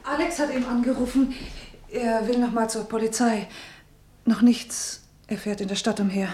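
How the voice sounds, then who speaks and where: An actress playing a brisk woman visitor in a German radio play. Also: on the high side, steady tone, warm tone, reverberant, lightly acted. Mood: brisk, guarded.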